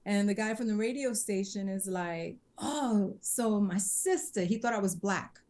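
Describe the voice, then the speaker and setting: A woman's voice: mid-range voice, a little slow. Chatty New woman telling stories. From Bronx, New York. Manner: chatty, animated retelling with quoted dialogue. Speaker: singer, podcast guest. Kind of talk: casual interview storytelling.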